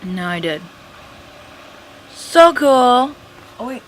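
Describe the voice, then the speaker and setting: A woman's voice: a little high, very animated, extremely slow. An American woman chatting casually and spontaneously, with informal, slangy remarks, on an amateur recording. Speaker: amateur recording a weather radio alert. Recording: noisy amateur home recording. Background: clear background noise.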